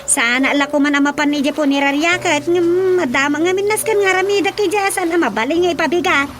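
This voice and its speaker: A woman's voice: high-pitched, fairly quick, fairly bright tone, slight background noise. An actress with an Ilocano accent playing a chatty village woman in a radio comedy drama.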